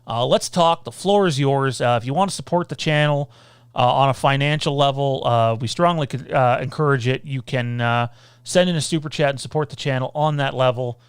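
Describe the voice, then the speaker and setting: A man hosts a loose livestream Q&A into a desk mic. His voice is smooth and clear, sitting at an ordinary middle pitch, and the sound is close and dry with no room around it. He rambles along, reads out viewer comments and fills his pauses with "uh.". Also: no echo. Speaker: podcast host. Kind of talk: casual livestream Q&A.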